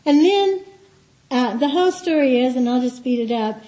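A woman with a light, higher voice gives a church teaching in a meeting room, talking in an easy, chatty way. Her tone is mellow rather than bright, and at times she drifts off the mic. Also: lively intonation, normal speed, rough recording. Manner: warm, chatty storytelling with soft laughs and asides. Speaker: guest speaker.

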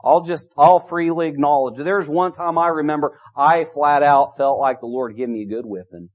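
A man's voice: on the high side, moderate pace. A middle-aged man preaching a sermon, emphatic and repetitive, his volume rising and falling. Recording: dull lo-fi sound.

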